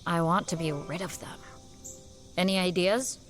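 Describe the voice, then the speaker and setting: A woman's voice: fairly low pitch, normal speed, over music, close to an everyday voice. A woman playing a tough, weary Old West wanderer in an audio drama, with an American accent and cool, laconic delivery. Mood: grim, resolute.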